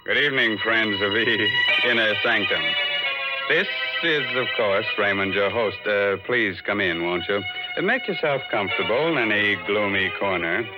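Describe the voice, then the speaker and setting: A man's voice: lowish voice, wildly swooping pitch, moderate pace, loud music behind the voice, rough recording. A man playing a genial, drily macabre horror host with arch, hesitant mock courtesy on 1940s radio. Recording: old 1940s radio broadcast recording.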